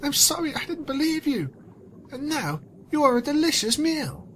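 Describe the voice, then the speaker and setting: Guy doing a high pitched girly octopus pony, super dramatic and sad, cartoon storybook thing. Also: sing-song, moderate pace, a bit bright, a little hiss or hum, full character voice. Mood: tearful, sorrowful. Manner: melodramatic, exclaiming.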